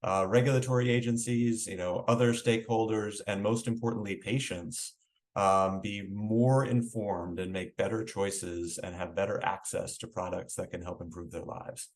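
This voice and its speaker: A man speaking as a regulatory official, giving loosely spoken conference remarks in a halting, hedging way. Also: lowish voice, fairly even intonation. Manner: halting, hedging, frequent "you know".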